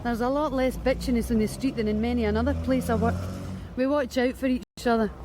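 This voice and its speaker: An actress playing a blunt, streetwise young street worker with a Scottish accent in a radio drama, wry and matter-of-fact. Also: female voice, a little high, steady tone, fast talker, noisy background, natural voice. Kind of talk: BBC radio drama acting.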